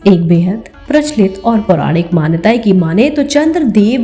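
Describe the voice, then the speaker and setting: Mellow-voiced woman narrating over soft music. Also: mid-range voice. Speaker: narrator of mythological stories. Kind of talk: scripted storytelling narration. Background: background music.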